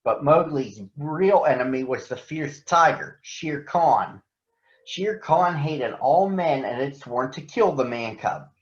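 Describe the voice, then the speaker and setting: A man voicing a protective panther who narrates a storybook jungle tale in a measured, earnest way. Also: on the high side, lively intonation. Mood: earnest storytelling. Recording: roomy echo, dull lo-fi sound.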